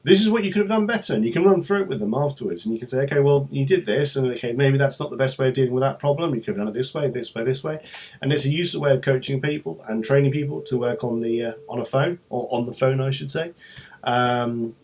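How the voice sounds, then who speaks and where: A man's voice: mid-range voice, fast talker, darkish tone. A man presenting an informal, unscripted company explainer vlog, talking in a hesitant, rambling way with trailing asides.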